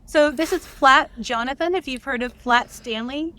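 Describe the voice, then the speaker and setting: A woman's voice: high voice, normal speed, bright, forceful tone. A woman talking casually as an interview guest, explaining things in an earnest manner. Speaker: interview guest, director and writer.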